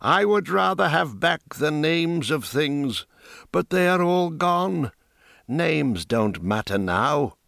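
A man's voice: big pitch swings, vocal fry, fairly bright tone, no echo, studio quality, exaggerated voice. A man reading an audiobook, voicing a broken, haunted old woman dyer in a strained, raised voice. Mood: dignified. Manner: slow, strained, rising high pitch. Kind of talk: audiobook character voice.

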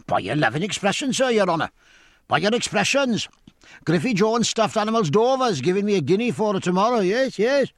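A man's voice: high-pitched, very animated, fairly quick, dry recording, pitched above the performer's own voice. A man voicing an eager, pleading male quarter gunner who gabbles his claims.

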